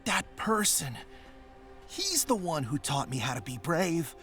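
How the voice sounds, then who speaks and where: A man's voice: on the high side, big pitch swings, unhurried, fairly bright tone, soft background music. A man playing a hearty, earnest male Goron warrior in a dramatic video game cutscene, with emotional, emphatic delivery. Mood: earnest, humble.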